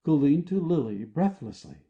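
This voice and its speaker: A man's voice: a little high, sing-song, moderate pace, creaky, lo-fi sound. A man reading stage directions as scripted narration for an audio play.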